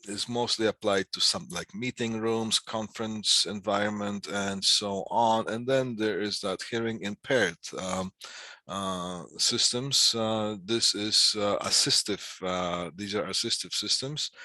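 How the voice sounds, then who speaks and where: A man with a fairly low but bright, forward voice lectures informally to a class. He works through definitions in short, halting phrases and adds explanatory asides. The sound is close and very dry. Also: fairly even intonation, no echo. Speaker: instructor giving a class on PA systems.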